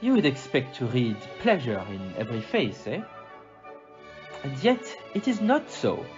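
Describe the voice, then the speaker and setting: A man playing a polite, observant gentleman detective in an audio play, with a French accent and a formal, theatrical manner. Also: medium pitch, wildly swooping pitch, unhurried. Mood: courteous, observant. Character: polite, observant foreign gentleman detective with little grey cells. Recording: muffled audio, loud music behind.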